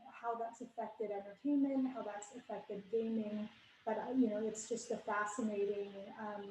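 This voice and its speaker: A young woman from Canada walks an online audience through her slides, speaking casually and stopping often for fillers and restarts. Her voice sounds mellow and a little dark. It is picked up by a computer mic in an echoey room with a faint hum behind it. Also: mid-range voice. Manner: many fillers and restarts, conversational. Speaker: conference speaker. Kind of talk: online conference presentation.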